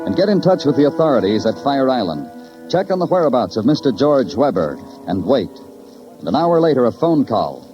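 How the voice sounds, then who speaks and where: An actor playing a world-weary, sardonic police detective in a 1950s radio crime drama, with terse, poetic narration. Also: male voice, medium pitch, big pitch swings, brisk, natural voice. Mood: grim, terse. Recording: dull old radio recording, music behind.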